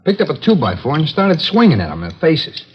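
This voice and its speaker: A man's voice: fairly high pitch, huge pitch swings, a little fast. An American man acting a casual, remorseless drifter who confesses to killings in a 1950s radio drama, talking in an offhand, rambling way on a dull, noisy old recording. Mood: haunted, animated. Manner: offhand, matter-of-fact, rambling.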